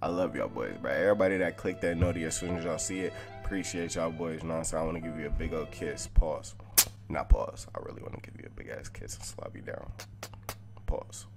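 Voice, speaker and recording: A young man hosting a gaming video, talking in hyped slang and trash talk with his teammates, sometimes dropping to a low mumble. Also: brisk, creaky, over music. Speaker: gaming YouTuber host. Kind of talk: casual gaming commentary with friends.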